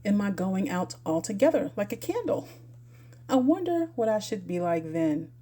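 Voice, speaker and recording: A woman acts out a curious young storybook girl, full of fancies and talking to herself. The voice is lively in pitch with a mellow, slightly husky tone. She muses and reasons with herself in earnest. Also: moderate pace. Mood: nervous musing. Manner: musing, self-scolding.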